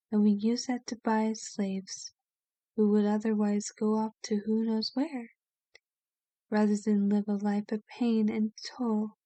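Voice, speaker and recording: A woman playing a kind, genteel vampire in an ASMR roleplay, speaking gently and soothingly with formal wording and a soft, sometimes breathy voice. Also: fairly high pitch, no room sound, natural voice.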